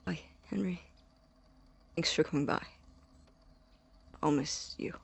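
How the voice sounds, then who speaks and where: An actor playing an awkward, apologetic young man in an audio drama, with a husky, breathy voice and a hesitant, flustered manner. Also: medium pitch, takes it slowly, heavily acted. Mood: subdued. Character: awkward, apologetic young college-bound man named Victor.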